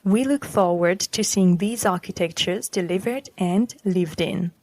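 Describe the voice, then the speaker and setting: A woman presenting a podcast, reading a scripted text in a formal, measured manner. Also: fairly low pitch, a little mellow, dry recording. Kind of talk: scripted narration.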